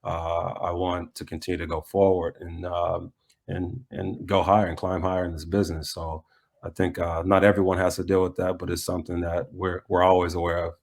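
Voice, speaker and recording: An American man talking reflectively in a conversational interview, often pausing mid-thought to restate himself. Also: sits low, level tone, vocal fry, a little mellow. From United States. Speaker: soccer coach being interviewed.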